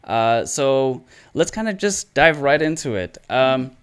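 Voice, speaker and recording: Smooth-voiced man hosting an interview. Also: mid-range voice, animated, slow. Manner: friendly, affirming, chatty questions. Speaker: podcast host, interviewer. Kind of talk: casual interview.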